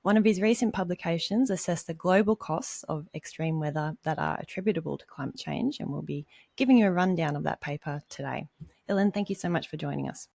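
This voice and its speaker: A woman's voice: sits low, brisk, creaky, a little mellow, dry recording. A woman, co-hosting a podcast and reading a scripted intro. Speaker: podcast co-host. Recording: dry close sound with faint music.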